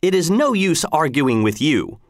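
A man's voice: on the high side, very animated, unhurried, dry recording, clean studio sound, pitched above the performer's own voice. A man reading a story voices a worried wife and mother who scolds and frets insistently, in a raised voice. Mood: scolding. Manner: nagging, fretful, insistent. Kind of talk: audiobook character reading.

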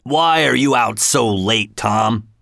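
Man putting on a drunken bully father, gruff, scornful, slow, storybook. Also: medium pitch, a bit bright, dry recording, clean studio sound. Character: large drunken father, rough and threatening.

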